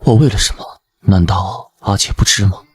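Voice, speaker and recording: A man playing a proud, fierce young king and devoted younger brother in an animated drama, brooding and formal. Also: deep voice, normal speed, breathy edge. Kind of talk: dubbed animated short drama, scripted.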